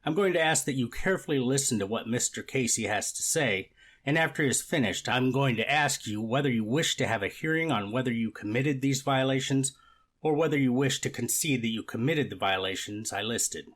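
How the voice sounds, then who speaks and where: Guy playing a judge in a court reenactment, medium pitch, formal, measured, very procedural. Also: a bit bright, close to an everyday voice. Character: formal, methodical male judge presiding over a hearing. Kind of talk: readers theater courtroom reenactment.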